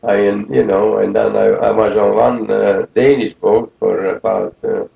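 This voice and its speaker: A man, recalling the past in a rambling, halting way full of fillers in an oral history interview. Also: on the low side, level tone, creaky. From Netherlands. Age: 80s. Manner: rambling, halting, many fillers like "you see". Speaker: interviewee, war veteran. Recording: roomy lo-fi 1980 tape.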